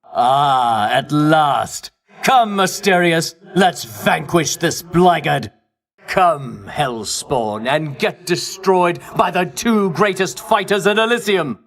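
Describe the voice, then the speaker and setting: An actor playing a pompous, self-righteous Greek champion in a video game, declaiming in grandiose archaic phrases with theatrical scorn. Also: male voice, fairly high pitch, expressive, takes it slowly, a bit bright, heavily acted. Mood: haughty, scornful. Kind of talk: video game character voice acting.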